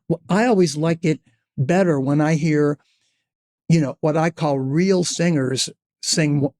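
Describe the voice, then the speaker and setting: Rambling older man, pitched a bit high. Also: darkish tone. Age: 70s. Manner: rambling, searching for words. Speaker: podcast guest, singer. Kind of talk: casual storytelling chat.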